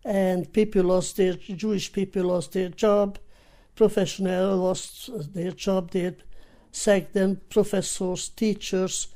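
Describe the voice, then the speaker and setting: An elderly woman with a Hungarian accent, a native Hungarian speaker, recounting her memories in short, halting, plain-spoken phrases in an interview. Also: mid-range voice, level tone, warm tone. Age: 80s or older. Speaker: interviewee, Holocaust survivor giving testimony. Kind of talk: personal testimony in an interview.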